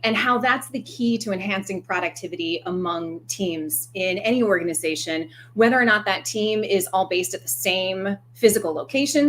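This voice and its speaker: A woman's voice: mid-range voice, fairly bright tone. An American woman speaking as a panelist in a discussion, articulate and enthusiastic. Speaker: business consultant, panelist.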